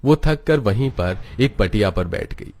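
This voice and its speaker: Guy narrating a kids cartoon story, lowish voice, scripted storyteller read. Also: lively intonation, unhurried, darkish tone.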